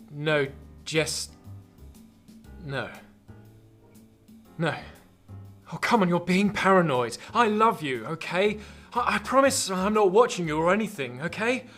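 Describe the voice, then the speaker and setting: A man acts out a secretive young-love boyfriend and computer spy in a radio drama. He is flustered and stammers evasively, with soft music underneath. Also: high-pitched.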